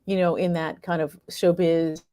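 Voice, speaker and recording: A woman's voice: lowish voice, flat delivery, normal speed, dark tone, no room sound. A middle-aged American woman talking reflectively as an interview guest, animatedly acting out her own thoughts as she tells stories. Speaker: guest, playwright and actor.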